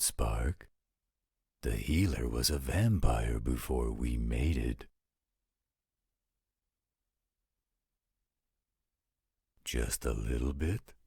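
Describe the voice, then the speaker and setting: A blunt, protective werewolf in a roleplay audio drama. His gruff voice drags slowly, thick with gravelly fry and heavy rasp. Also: very deep voice, mellow, no room sound, studio quality, exaggerated voice. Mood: puzzled, gruff. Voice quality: gravelly, raspy.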